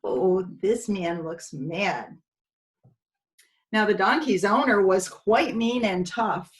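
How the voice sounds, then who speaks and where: A woman's voice: medium pitch, expressive, takes it slowly. A woman preschool teacher reading a storybook aloud expressively to young children, with little asides to her listeners.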